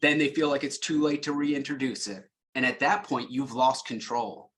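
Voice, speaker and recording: A man's voice: mid-range voice, fairly even intonation, normal speed, a bit bright. A man speaking as a sales leader on a panel, plain-spoken and conversational, explaining through acted-out examples.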